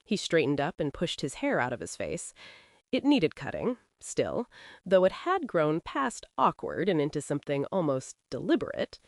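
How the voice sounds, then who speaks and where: A woman narrating a first-person western audio drama as a proud, capable frontier ranch woman, with a dry, wry, measured delivery. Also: low-pitched, huge pitch swings, no echo.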